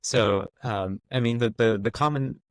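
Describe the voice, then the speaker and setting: A man's voice: mid-range voice, unhurried, a little hiss or hum. An American man in his thirties answering interview questions thoughtfully, with many fillers and restarts. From United States. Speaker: guest. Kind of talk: conversational interview.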